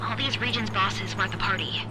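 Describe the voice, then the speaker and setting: A woman's voice: medium pitch, fairly quick, noisy background, lightly acted. A woman playing an alert young mission operator in a video game cinematic. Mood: focused, wry. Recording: loud music over the voice.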